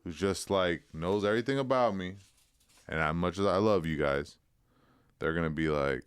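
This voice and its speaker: A man's voice: low voice, moderate pace, clear, resonant tone, no room sound. A man co-hosting a podcast, telling a long story in slangy casual chat and acting out the quotes. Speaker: podcast co-host.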